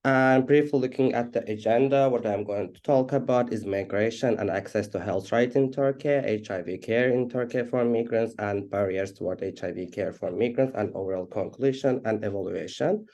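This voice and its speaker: A man's voice: on the low side, moderate pace, hardly any pauses, mellow. A man from Azerbaijan giving a webinar presentation over a video call, speaking with many fillers and restarts. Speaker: HIV activist presenter.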